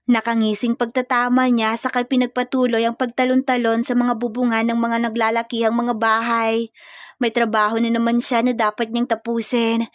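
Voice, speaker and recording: A woman's voice: fairly high pitch, no room sound, studio quality. A woman with a Filipino accent reading a scripted dramatic story as its narrator.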